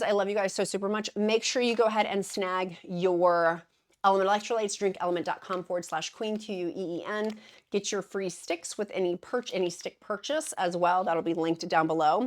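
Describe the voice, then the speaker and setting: A woman chatting casually to her viewers as a weight-loss vlogger, bubbly and gushing, with rambling side notes and self-deprecating jokes. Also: lowish voice. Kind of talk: casual chatty vlog to camera.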